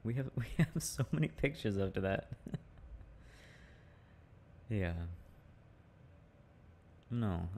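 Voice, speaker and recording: A young man with a very low, creaky voice speaks in a casual, affectionate boyfriend-roleplay style. His tone is mellow and intimate, chatty and prone to chuckling. There is faint noise behind him. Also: expressive, slow pace, long pauses. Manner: soft affectionate chat with frequent chuckles. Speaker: boyfriend roleplay audio performer.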